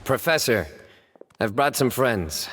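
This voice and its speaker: An actor playing a stern, composed young man in a video game, measured and formal with deadpan humour. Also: mid-range voice.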